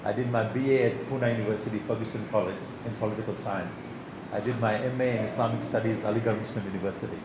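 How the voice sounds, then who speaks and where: An older man from Tanzania giving an academic lecture as a guest speaker, talking in a relaxed, conversational way and restarting his words as he goes. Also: on the low side, fast talker. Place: lecture hall. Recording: echoey lecture hall with background noise.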